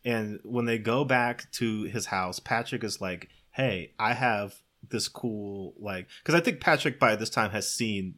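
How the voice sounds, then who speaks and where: A man's voice: fairly low pitch, measured pace, few pauses, fairly bright tone. A man recapping a film casually and comedically as a podcast co-host, telling it with wry disbelief and frequent laughter. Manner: wry, incredulous storytelling, often laughing.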